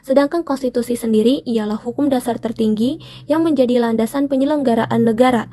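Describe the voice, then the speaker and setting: A woman's voice: on the high side, brisk, warm tone. A young woman presenting as a student, reading a scripted explanatory narration.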